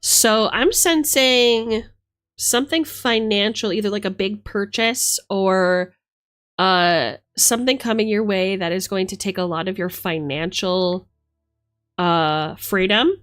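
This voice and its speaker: A woman streamer talks casually over background music at a medium pitch. She is playful and affectionate with her listeners, often thinking out loud as she goes through a tarot reading. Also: slow pace. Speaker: livestreamer. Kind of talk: casual livestream chat while reading tarot cards.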